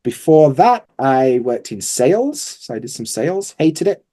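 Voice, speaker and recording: A man from Yorkshire talks in a casual interview, in a mellow voice with a very lively rise and fall. He has a Yorkshire accent and a chatty, informal, self-deprecating way of speaking. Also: medium pitch, measured pace. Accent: Yorkshire English. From Yorkshire, England. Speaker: guest, English teacher.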